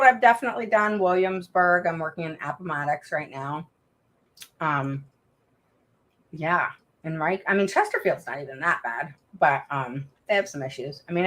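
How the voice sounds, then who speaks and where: Middle-aged mom hosting a live chat, low voice but bright and forceful, frank, lots of ums. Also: female voice. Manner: frank, talkative, many fillers and restarts; calls herself a roaring mama advocate. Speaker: host of a live stream for special education parents. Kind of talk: casual live-stream chat, reading viewer comments.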